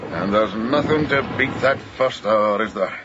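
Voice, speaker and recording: An actor playing a talkative ship's mate in a radio drama, effusive and opinionated, given to repeating himself. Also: male voice, lowish voice, unhurried, lightly acted. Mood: proud, effusive. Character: garrulous ship's mate, proud of his vessel. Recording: muffled, noisy ship deck ambience.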